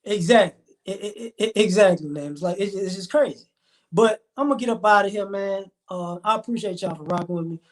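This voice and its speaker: A man's voice: high-pitched, brisk. A man in his forties hosting a live stream, talking in a rambling, emphatic, slangy way. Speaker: livestream host. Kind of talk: casual live-stream commentary.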